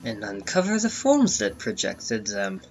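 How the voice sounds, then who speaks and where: A man's voice: fairly low pitch, wildly swooping pitch, a little slow. A man narrating a comic book dramatically, with theatrical, emphatic phrasing. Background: background music.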